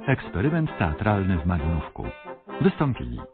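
A man's voice: deep voice, wildly swooping pitch, moderate pace. A man formally reading scripted closing credits as a radio announcer. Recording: dull lo-fi recording over brass music.

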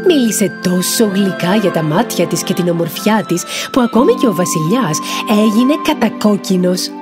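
A woman narrates a children's fairy tale with a lively, rising and falling voice. She speaks to young listeners directly, with music playing behind her. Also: mid-range voice. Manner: warm address to listeners, expressive.

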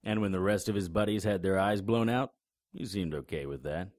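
Guy playing a cynical noir detective, low voice, terse, dry close sound. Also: fairly quick, a little mellow, no echo, close to an everyday voice. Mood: sardonic. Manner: sardonic, terse. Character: cynical, hard-boiled male private investigator, tough and sardonic. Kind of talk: audio drama acting with noir narration.

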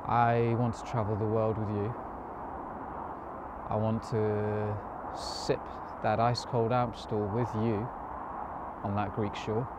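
A man acting a nervous, awkward lover in a naturalistic modern stage monologue, halting, hesitant and earnest. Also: mid-range voice, natural voice. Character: nervous, awkward, lovestruck man confessing his love. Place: outdoors, park bench. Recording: noisy outdoor recording with passing vehicles.

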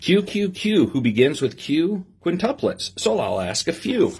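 A middle-aged man reading aloud to children in an expressive sing-song way, with simple asides to his listeners. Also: fairly high pitch, measured pace. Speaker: police lieutenant reading to children. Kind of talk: read-aloud story time with asides to young listeners. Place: small office, indoors. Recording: clear background noise with clicks.

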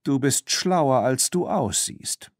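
A man's voice: medium pitch, animated, slow, no room sound, clean studio sound, pitched above the performer's own voice. A man voicing a blunt, feisty teenage girl with a snappy, challenging manner in an audiobook. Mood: grudgingly impressed.

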